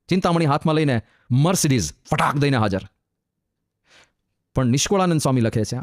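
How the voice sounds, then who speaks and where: A man giving a devotional sermon as a monk, chanting verses slowly and explaining them with emphatic, rising repetition. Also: on the high side, darkish tone, dry recording, studio quality.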